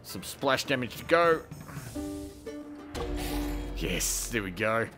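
A man's voice: mid-range voice, big pitch swings, slow. A man giving casual let's play commentary as a gaming host, muttering through his decisions as he plays. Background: game music.

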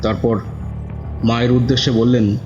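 A man's voice: mid-range voice, level tone, normal speed. Male horror storyteller over faint music. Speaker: narrator. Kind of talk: scripted horror story narration, in first person. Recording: dull audio with music bed.

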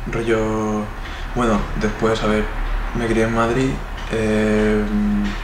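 A man in his twenties with a Spanish accent, answering interview questions in a rambling way with many fillers. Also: lowish voice. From Spain. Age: 20s. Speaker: interviewee, artist. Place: indoor room. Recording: echoey room, noisy.

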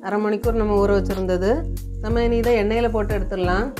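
A woman's voice: mid-range voice, fairly even intonation, quick pace, over music. A woman narrates a recipe step by step in a plain, homely, colloquial way. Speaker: home cooking channel host.

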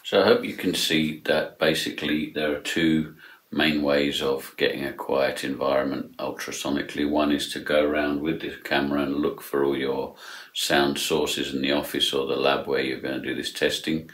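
A man in his fifties giving a measured, steady product demonstration with frequent ums, in a small room lined with acoustic foam, recorded on a close camera at a desk. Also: a bass voice.

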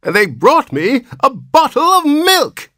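A man's voice: very high voice, huge pitch swings, takes it slowly, bright, forceful tone, dry recording, clean studio sound, voice pushed up, full character voice. A man voicing a querulous man of seventy from a storybook, in a cracked, weak, whining old voice. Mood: shrill protest. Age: 70s.

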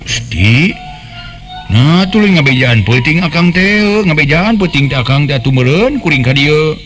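A man voicing an excitable, prying youngest brother in a village radio tale, with colloquial questioning speech. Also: fairly high pitch, normal speed, pitched above the performer's own voice. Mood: startled, prying. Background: faint music.

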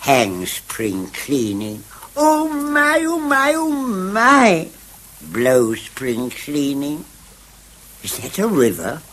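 An actor playing a timid, excitable mole with an English accent, muttering and repeating himself in a 1970s radio drama. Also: male voice, a little high, drawn-out words, full character voice. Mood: giddy delight. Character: timid, excitable old mole, easily delighted. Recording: hissy old radio broadcast.

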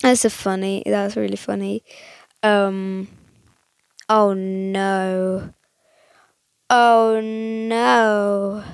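A young woman chatting casually over a mobile game, rambling with lots of fillers, her voice a little croaky today. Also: medium pitch, flat delivery, drawn-out words, long pauses, no echo, clean studio sound. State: slightly croaky voice. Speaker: gaming channel host. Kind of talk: casual gameplay commentary.

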